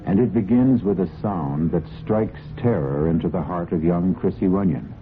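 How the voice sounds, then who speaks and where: Deep-voiced male radio drama narrator. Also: normal speed. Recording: muffled, noisy old radio broadcast recording.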